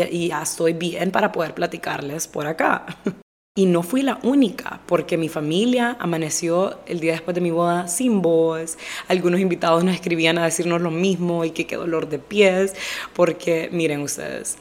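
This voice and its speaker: A young woman hosting her own podcast in casual, direct chat, a little hoarse with a stuffy nose, slipping English phrases into her talk. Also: lowish voice, a little mellow. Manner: direct, chatty, mixes English phrases into Spanish. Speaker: podcast host.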